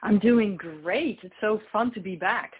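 A woman's voice: mid-range voice, a little slow, slightly rough, phone-quality sound. A woman from the Netherlands, a guest chatting casually in an interview. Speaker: guest, artist and author. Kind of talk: casual chat.

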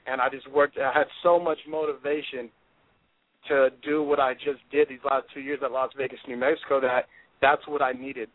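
A man's voice: mid-range voice, no room sound. Intense young man on a phone line. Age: 20s. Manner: intense, confident, driven. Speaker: football player, draft prospect guest. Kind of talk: phone-in interview. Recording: dry tinny phone line.

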